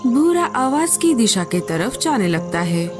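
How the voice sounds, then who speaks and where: A woman's voice: fairly high pitch, sing-song, unhurried, over music. A woman giving scripted storybook narration for a cartoon.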